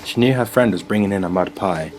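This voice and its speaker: A man narrating a rhyming children's story in a measured, scripted storybook delivery. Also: on the low side. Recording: music bed under the voice. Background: music.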